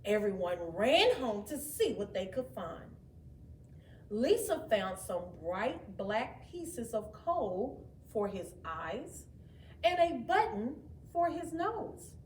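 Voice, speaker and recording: A woman reading a children's story aloud in an expressive, deliberate way. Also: a little high. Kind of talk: children's read-aloud narration. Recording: echoey room, distant mic, faint noise.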